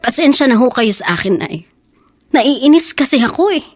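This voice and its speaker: A woman's voice: sits high, sing-song, normal speed. A woman playing a resentful daughter in a Filipino radio drama, speaking in a bitter, emotional way. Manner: bitter, tearful, emotional delivery. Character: resentful grown daughter of an abusive father.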